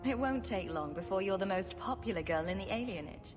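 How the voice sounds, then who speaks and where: A woman's voice: medium pitch, lively intonation, fairly quick, natural voice. An actress playing a confident, sarcastic female rogue in a video game, with a dry, teasing way of talking. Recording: reverberant hall, music underneath.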